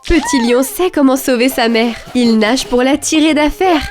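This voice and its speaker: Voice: high-pitched, normal speed, close to an everyday voice. A narrator reading scripted rhyming storytelling for a children's cartoon. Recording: music behind the voice. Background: children's music.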